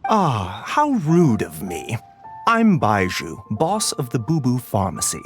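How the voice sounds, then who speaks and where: Slow, courteous gentleman doctor. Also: medium pitch, huge pitch swings, soft background music. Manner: courteous, unhurried. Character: genteel, soft-mannered male doctor and pharmacy owner. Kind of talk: video game dialogue.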